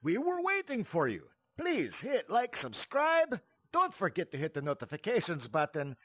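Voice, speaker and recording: Guy doing a theatrical show announcer man, eager grand welcome, sing-song and drawn out, really swoopy high pitch. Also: huge pitch swings, normal speed, fairly bright tone, no room sound, heavily acted. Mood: showy, welcoming. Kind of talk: theatrical scripted greeting.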